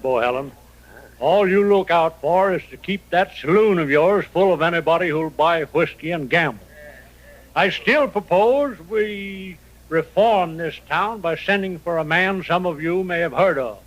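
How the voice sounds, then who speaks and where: An actor playing a middle-aged, reform-minded western town mayor, speaking with formal authority in an old radio drama. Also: male voice, on the high side, unhurried, a bit bright, low-quality recording. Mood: firm, decided. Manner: authoritative, formal meeting chairman. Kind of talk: 1950s radio drama acting.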